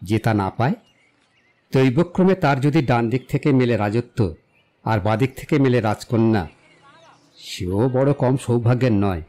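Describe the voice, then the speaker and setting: Man playing a mellow-voiced eloquent young romantic. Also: mid-range voice, lively intonation, a little slow, pauses often, natural voice. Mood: impassioned, proud. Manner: declamatory, literary, philosophizing. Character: eloquent romantic young man, self-assured, poetic idealist. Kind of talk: dramatized audio story acting. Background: background music.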